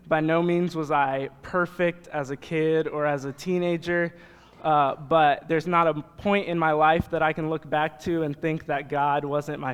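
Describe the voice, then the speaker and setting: Young American pastor, clear voice in reverberant hall. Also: male voice, fairly high pitch. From Nebraska, United States. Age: young adult. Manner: casual, joking, conversational asides. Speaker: youth pastor. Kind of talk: informal sermon to a congregation. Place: church hall, speaking to congregation.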